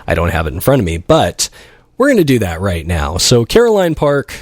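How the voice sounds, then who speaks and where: A man's voice: fairly low pitch, wildly swooping pitch, a little fast, no echo, clean studio sound. A man hosting a live radio show, chatting casually and rambling off into asides as he introduces things. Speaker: radio host and DJ.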